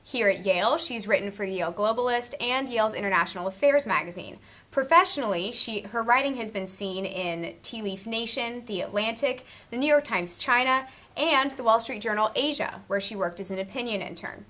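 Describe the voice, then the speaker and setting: A woman anchoring a student newscast, crisply reading a scripted segment introduction. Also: a little high, brisk, a bit bright. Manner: crisp read-from-script delivery. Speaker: news anchor.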